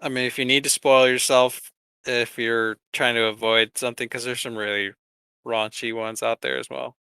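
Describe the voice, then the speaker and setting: A man with a bright, crisp voice chats casually as a podcast co-host. The recording is dry and close, and his delivery is conversational and joking. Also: mid-range voice, little pitch movement, normal speed, no room sound. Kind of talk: casual banter.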